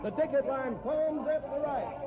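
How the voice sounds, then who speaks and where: An actor playing a grandiose, theatrical announcer and sideshow barker in 1950s radio style. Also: male voice, extremely high pitch, moderate pace, a loud crowd. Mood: hawking. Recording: muffled old radio recording, loud music.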